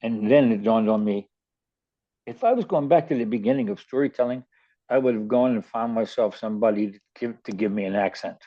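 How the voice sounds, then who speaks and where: A man's voice: on the low side, brisk, lo-fi sound. An older man with a Baltimore accent, hosting a radio show and telling stories in a rambling, casual way, often stumbling and repeating words. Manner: rambling, many restarts and stammered repeats. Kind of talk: casual storytelling chat.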